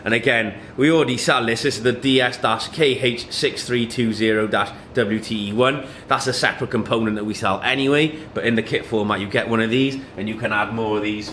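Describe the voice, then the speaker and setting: A man walks through a product step by step. He speaks at a medium pitch in an informal, matter-of-fact manner, explaining things plainly as a demonstrator would. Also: steady tone, a little fast, few pauses, fairly bright tone, a little hiss or hum.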